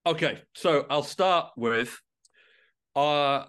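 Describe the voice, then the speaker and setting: A man's voice: on the high side, drawn-out words, a bit bright, clear, resonant tone. A man from England talking as an interview guest in a casual, reflective and discursive way.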